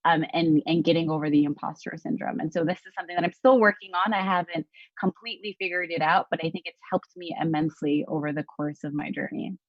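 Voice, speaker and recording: A woman with a lowish voice speaks earnestly on a panel, reflective and searching, often pausing on "um" and restarting her thoughts. The sound is dull and lo-fi, very dry, as if coming over a video call. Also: no echo. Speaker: journalist. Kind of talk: panel discussion on a live stream.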